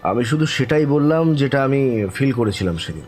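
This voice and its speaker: An actor playing a weary, earnest elder-brother figure in an audio horror drama, speaking in a measured, philosophical way. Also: male voice, mid-range voice, expressive, a little mellow, soft background music, natural voice. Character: grave, weary older-brother storyteller of eerie experiences. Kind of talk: audio horror story acting.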